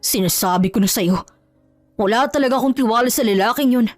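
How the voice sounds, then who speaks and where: Casting: female reader as authoritative businessman dad for a romance audio story, measured delivery, music underneath. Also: fairly high pitch, no echo, lightly acted. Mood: firm. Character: stern, protective wealthy father, businessman.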